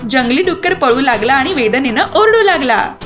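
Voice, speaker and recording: A woman narrating a scripted children's fairy tale as an expressive storyteller. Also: sits high, normal speed. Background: music.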